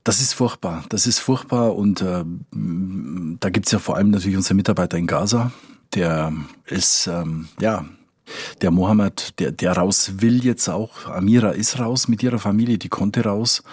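A man's voice: low voice, normal speed, a little mellow, breathy edge, dry recording, clean studio sound. A man working as a foreign correspondent talks conversationally in a podcast, informal and emphatic, thinking aloud and repeating himself.

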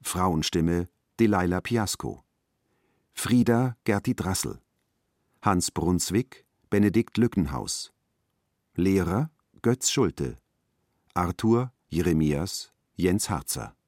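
A man announces radio play credits formally. His voice is deep and unhurried, in a close, dry recording. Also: animated, darkish tone, dry recording.

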